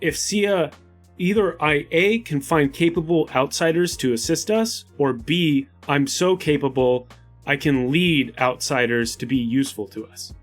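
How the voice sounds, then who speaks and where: A man's voice: a little high, unhurried, soft background music. A man chatting casually as a player in a tabletop roleplaying game, working out his arguments. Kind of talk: casual chat, in-game discussion.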